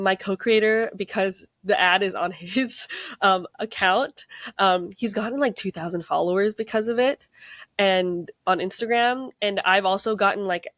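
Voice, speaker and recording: A woman's voice: mid-range voice, no echo. Rambling American woman, dry sound. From United States. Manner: rambling, many fillers, laughs often. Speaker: tabletop game co-creator, guest. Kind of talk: casual interview chat.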